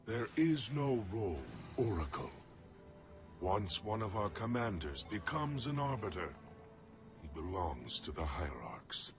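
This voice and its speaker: Proud, troubled alien fighter for a game cutscene: low, grave, reverent, over a loud score. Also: male voice, sing-song, unhurried. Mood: grave, certain. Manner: grave, terse, formal. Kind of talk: video game cutscene dialogue. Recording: loud music under the voice. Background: loud cinematic music.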